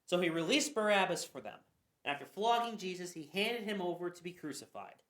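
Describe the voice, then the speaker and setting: A man's voice: sits high, expressive, brisk, fairly bright tone. A man narrating scripture for a church radio play, reading earnestly and sometimes stumbling. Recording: echoey room.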